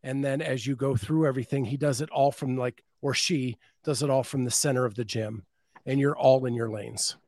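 A man's voice: medium pitch, fairly even intonation, normal speed, a little mellow, no room sound. A man hosting a podcast, reading listener comments aloud and expanding on them in casual chat. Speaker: podcast host.

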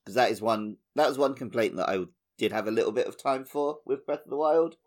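A man's voice: medium pitch, fairly quick. A man talking casually as a co-host on a gaming podcast.

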